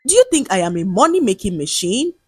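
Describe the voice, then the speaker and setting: A woman's voice: mid-range voice, very animated, quick pace, darkish tone, husky, no room sound, clean studio sound. A woman voicing a cruel, jealous husband in a folktale, scornful, accusing and domineering.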